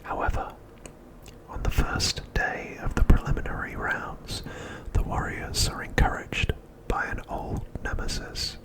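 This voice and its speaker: A man narrating a script as ASMR in a breathy, unvoiced whisper, softly and deliberately, pausing often between phrases. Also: rough recording. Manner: soft, deliberate, with frequent pauses between phrases.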